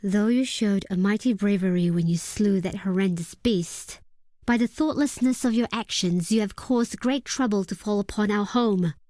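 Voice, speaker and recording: A woman playing a fierce, proud and bitter desert tribeswoman in a video game, speaking in solemn, deliberate, formal phrases. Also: medium pitch, warm tone, dry recording, natural voice. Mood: grave, stern. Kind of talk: scripted video game character dialogue.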